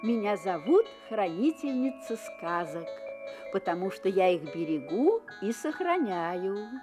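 An actress playing a kindly keeper of fairy tales, a storyteller guiding children in an inviting, theatrical, unhurried way. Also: female voice, mid-range voice, very animated, over music. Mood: welcoming. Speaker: storyteller and guide.

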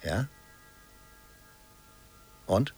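An actor playing an enigmatic, teasing painter in a radio play, speaking in terse, measured questions and replies. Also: male voice, a little mellow, soft background music. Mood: quietly probing. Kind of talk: radio play acting, intimate dialogue.

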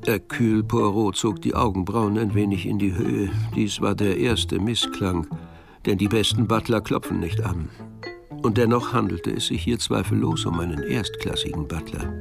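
Low, gravelly male storyteller over music. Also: moderate pace. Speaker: narrator. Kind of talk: scripted literary narration for a radio drama.

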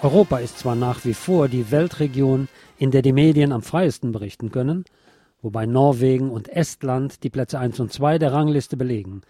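A man's voice: mid-range voice, moderate pace, mellow, husky, soft background music. A man presenting a scripted radio news report. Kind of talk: scripted news reading, later live eyewitness report.

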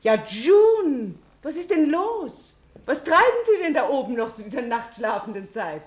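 An actress playing a courteous, mildly strict lady in charge in a 1950s German radio drama, speaking with polite, brisk authority. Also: sits high, big pitch swings. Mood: brisk, scolding.

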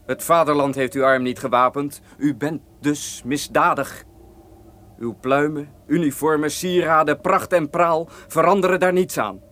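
A man's voice: on the high side, steady tone, a little slow, a bit bright. An actor playing a righteous, defiant nobleman and protective brother, declaiming solemnly in an old-fashioned radio drama. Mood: indignant, defiant.

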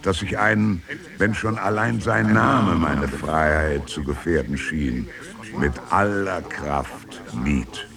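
Proud, creaky-voiced scholar-poet, slow and solemn. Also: male voice, extremely low pitch, a crowd in the background, exaggerated voice. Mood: solemn, self-reflective. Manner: measured, deliberate, rhetorical, with emphatic swells and hushed endings. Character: Petrarch, proud, reflective medieval Italian scholar-poet. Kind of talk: solemn literary radio monologue, declaimed.

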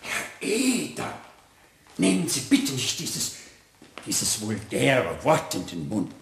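An actor in a radio play voicing an excitable, secretive, formal believer in noble ghosts. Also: mid-range voice, takes it slowly, creaky, echoey room, slight background noise, heavily acted. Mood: hushed, offended. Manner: theatrical, secretive, formal and reverent. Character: excitable, credulous, hushed believer in aristocratic ghosts. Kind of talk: German radio play acting.

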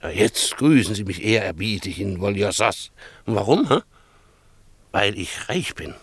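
An actor playing a proud, boastful rich Greek father in a German radio play, emphatic and scornful, with a husky voice. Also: on the low side, no room sound, exaggerated voice. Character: proud, self-made rich Greek father, possessive of his daughter.

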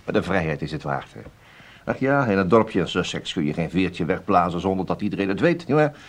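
A man's voice: deep voice, lively intonation, brisk. An actor playing a chatty police detective in a radio play, politely bashful and hesitant while he pries, repeating himself. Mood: chatty, probing. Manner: hesitant, repetitive, disarmingly polite. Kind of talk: radio drama acting.

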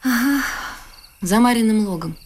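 An actress playing a guarded, wry village dairy farm manageress in a radio play, laconic and dryly ironic. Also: female voice, a little high, lively intonation, moderate pace.

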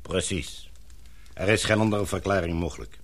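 A deep-voiced older gentleman in a radio play. He speaks formally and with measured authority, a father who is pessimistic about mankind yet tender with family. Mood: grave, pessimistic. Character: older authoritative English knighted father, gloomy yet affectionate. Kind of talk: radio drama acting.

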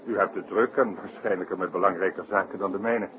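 An actor playing a polite, worried circus director in a 1960s radio play, speaking formally and carefully. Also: male voice, deep voice, fairly even intonation, fast, dull audio, close to an everyday voice. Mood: formal, deferential. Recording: hissy, noisy old radio broadcast.

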